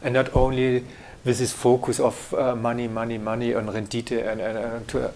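A man's voice: mid-range voice, level tone, normal speed, darkish tone, a little hiss or hum. German man searching for English words. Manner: hesitant, searching for English words, many fillers and restarts. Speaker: graphic designer interviewee. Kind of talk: interview answers.

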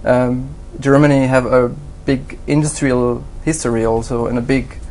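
Hesitant man with a faint rumble. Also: fairly even intonation, moderate pace, a little mellow, rough recording. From Switzerland. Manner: hesitant, many fillers and restarts, non-native phrasing. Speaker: graphic designer, interview guest. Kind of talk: halting conversational interview answers.

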